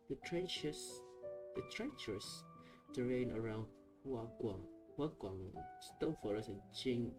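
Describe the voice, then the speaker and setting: A man's voice: mid-range voice, low-quality recording. Man stumbling through text over game music. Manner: halting, stumbles and restarts over names. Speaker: gameplay video uploader. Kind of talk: reading on-screen game text aloud.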